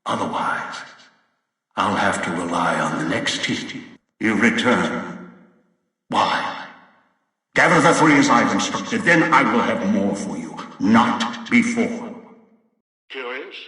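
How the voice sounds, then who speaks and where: Echoing, imperious old schemer. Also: male voice, lowish voice, animated, bright, forceful tone, exaggerated voice. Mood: gloating, possessive. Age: older. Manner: deliberate, imperious. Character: domineering, scheming old man issuing cold ultimatums. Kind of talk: video game voice acting. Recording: echoing hall, long reverb, distant.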